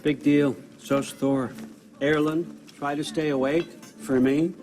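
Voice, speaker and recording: A man's voice: on the high side, fairly even intonation, slow, darkish tone. An actor playing a sly, powerful older god-king in a video game, offhand and commanding. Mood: easygoing persuasion. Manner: offhand, wry, talking like a busy boss. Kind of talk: naturalistic video game cutscene acting. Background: background music.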